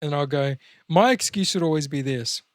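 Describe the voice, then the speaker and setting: A man's voice: a little high, normal speed, no echo, studio quality. A man co-hosting a podcast in casual conversation, blunt and jokey, with some swearing. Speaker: podcast co-host. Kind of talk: casual chat.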